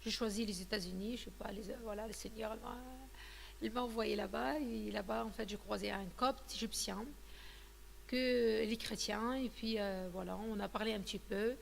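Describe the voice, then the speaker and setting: A woman in her thirties, from Tunisia, tells her story in an interview with a gravelly edge to her voice. She talks haltingly, with many fillers and restarts. The voice rings with a long reverb, and a faint hum sits underneath. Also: mid-range voice, steady tone. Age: 30s. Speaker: guest giving a testimony. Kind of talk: personal testimony interview.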